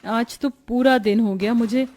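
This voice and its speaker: A woman's voice: fairly high pitch, steady tone, measured pace, darkish tone, lightly acted. A woman voicing an overworked young new bride who grumbles plaintively in a cartoon story. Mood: weary, complaining. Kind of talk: animated moral story dialogue.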